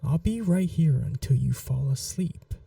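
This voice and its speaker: Woman to play seductive vampire noblewoman for ASMR roleplay: very dark, mellow, creaky voice, soft whispering, possessive and teasing. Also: deep voice, expressive, dry recording, recorded from a distance, full character voice. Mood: tender, soothing. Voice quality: soft, breathy, often whispered. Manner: slow, languid, teasing and coaxing.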